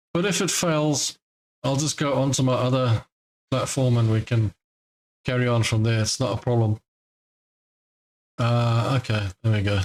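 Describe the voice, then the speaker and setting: A man's voice: mid-range voice, poor audio. Chatty trading-stream host, man. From born in Scotland, grew up in South Africa. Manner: chatty, rambling, laughs often. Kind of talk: casual live-stream chat. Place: home in Cape Town.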